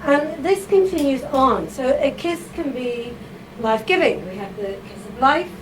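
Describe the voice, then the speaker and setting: A woman giving a chatty, informal talk to a live audience, full of asides, fillers and quick "okay?" checks. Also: high voice. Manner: chatty, animated, with frequent fillers and tag questions. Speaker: writer giving a reading. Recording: audible background noise.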